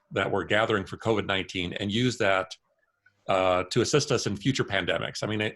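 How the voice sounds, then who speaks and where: Man, panel speaker, low voice, loose conversational delivery with repeated words. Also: a bit bright. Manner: conversational, repeats words, uses "you know" and "I mean". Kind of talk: panel discussion.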